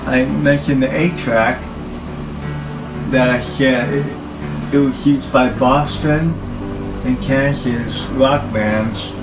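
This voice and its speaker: Slow-talking man over music. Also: medium pitch, steady tone, poor audio. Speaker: hobbyist presenter showing his tape machines. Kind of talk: informal equipment talk.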